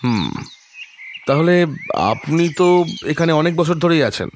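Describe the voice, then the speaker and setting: A man playing a calm, shrewd detective in an audio drama, measured and musing as he quietly probes. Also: a little high, slight background noise. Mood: casual probing. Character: calm, shrewd male detective, probing and thoughtful.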